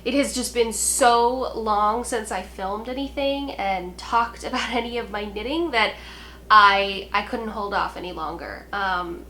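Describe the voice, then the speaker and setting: A woman's voice: medium pitch, a little slow, bright, forceful tone, slight background noise. A stuffy-nosed woman chatting about knitting. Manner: chatty and apologetic, with frequent ums and restarts. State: congested and nasal from a sinus cold. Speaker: knitting podcast host. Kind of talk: casual chat to camera.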